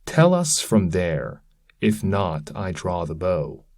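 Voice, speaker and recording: Man as centaur sentry, challenging, archaic verse, low, unhurried. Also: sing-song, darkish tone, no echo, studio quality, exaggerated voice. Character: wary male centaur guard challenging intruders.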